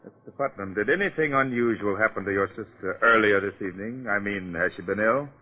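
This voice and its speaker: A man acting a polite but persistent police detective, measured and probing, in a 1940s-style radio mystery. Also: lowish voice, slight background noise, close to an everyday voice. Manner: measured, questioning. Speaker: actor. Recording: tinny old radio recording.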